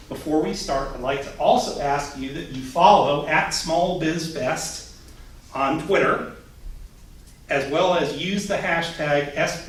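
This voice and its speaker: A man's voice: mid-range voice, a little hiss or hum. A man hosting an event, making friendly announcements and introducing the next speaker. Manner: upbeat and friendly, a few stumbles. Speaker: event host. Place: coworking space event room. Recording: echoey room, mic at a distance.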